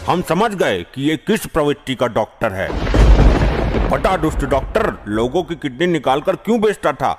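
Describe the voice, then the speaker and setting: A man's voice: fairly high pitch, very animated, moderate pace, over loud music. A man voicing a stern, commanding male lord of death judging sinners in a cartoon. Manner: commanding, formal.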